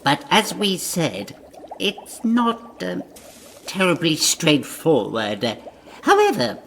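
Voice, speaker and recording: A man playing a bumbling, over-formal lord in a comic audio drama, halting and pompously polite. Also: fairly high pitch, huge pitch swings, vocal fry, fairly bright tone, a little hiss or hum, heavily acted. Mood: nervous, evasive.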